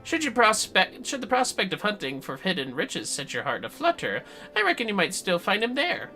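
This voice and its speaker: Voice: mid-range voice, wildly swooping pitch, normal speed, sharp tone, soft background music, pitched above the performer's own voice, full character voice. A streamer with an androgynous voice voicing a boastful, ale-addled fantasy adventurer, reading game dialogue in theatrical, wordy, old-fashioned language. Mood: grandiose, enticing. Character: boastful, florid ale-addled adventurer pitching treasure hunting.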